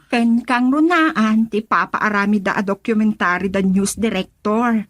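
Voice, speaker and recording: An actress playing a girlish, expressive young colleague in an Ilocano radio drama. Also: medium pitch, a little slow, lightly acted. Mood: excited. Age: young adult.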